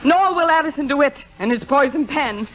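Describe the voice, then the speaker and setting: An actress playing a proud, sharp-tongued middle-aged stage star in a theatrical 1950s radio drama style. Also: female voice, high-pitched, expressive, brisk. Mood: indignant, bitter. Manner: sardonic, theatrical, cutting. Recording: dull, lo-fi old radio recording.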